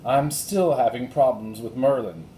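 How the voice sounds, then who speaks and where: Man reading as a calm, mysterious enchantress. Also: mid-range voice, unhurried, reverberant, a little hiss or hum, close to an everyday voice, low-quality recording. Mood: confiding. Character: kindly, mysterious enchantress librarian, a grown woman.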